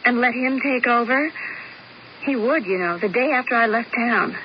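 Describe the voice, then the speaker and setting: A woman's voice: a little high, normal speed, close to an everyday voice. An actress playing an anxious, dramatic young woman who pleads melodramatically, in a 1970s radio drama. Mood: pleading. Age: young adult. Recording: dull old radio broadcast with hiss.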